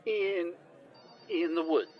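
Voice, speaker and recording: A man acting a smooth-talking team member in an audio drama, putting on formality and stumbling as he bluffs. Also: on the high side, slow, highs cut off, soft background music, a faint crowd. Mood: flustered bluffing.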